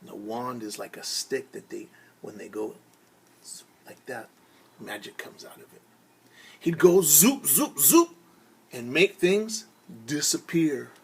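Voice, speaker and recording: A man telling a story as a teacher to young children, theatrical, pausing to explain words simply and acting out sounds. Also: mid-range voice, very animated, gravelly, a little mellow, slightly rough.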